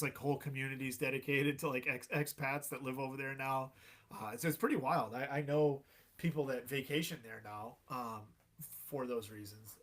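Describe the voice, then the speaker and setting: A man in his thirties, a guest on a podcast, chatting in a relaxed way with frequent laughs and fillers. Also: medium pitch. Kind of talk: casual chat.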